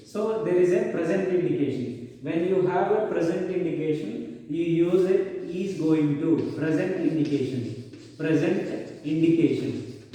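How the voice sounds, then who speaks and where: A man's voice: a little high, measured pace, dark tone. A male teacher with an Indian English accent, explaining grammar step by step and repeating himself often, with many fillers. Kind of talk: classroom grammar lesson. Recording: echoey hall, mic far off.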